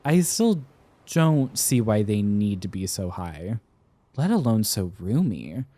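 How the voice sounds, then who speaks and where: A man's voice: on the low side, sing-song, takes it slowly, no echo, exaggerated voice. A young man playing a tender, flustered college-age boyfriend in an intimate romantic roleplay, with hesitant, stammering delivery. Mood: affectionate, playful. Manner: hesitant, stammering, soft-hearted.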